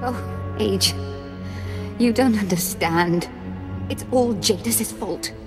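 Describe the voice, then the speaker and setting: An actress voicing an unstable young female Sith lord, wounded and bitter, with a gravelly voice and a manner that swings from imperious rage to self-pity, in a video game cutscene. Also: mid-range voice, slow pace, over music, natural voice.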